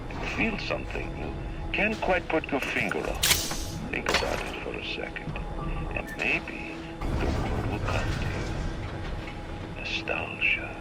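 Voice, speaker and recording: An imperious older city founder in a video game, lofty and commanding with a theatrical, oratorical sweep. He speaks slowly and with great weight, his pitch rising and falling dramatically from line to line. Orchestral music plays loudly behind him. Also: creaky, heavily acted, low-quality recording. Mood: mocking, wry. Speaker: game character. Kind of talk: video game voice acting, audio diary monologue. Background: orchestral game score.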